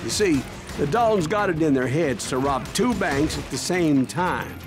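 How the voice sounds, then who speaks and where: A male actor plays a proud townsman of the Old West in a video game. He has a slightly high American voice and tells his story in a plain, folksy way, with music underneath. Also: lively intonation, normal speed, lightly acted. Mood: earnest recounting. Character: proud folksy Western townsman recalling a gunfight. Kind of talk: scripted in-character narration.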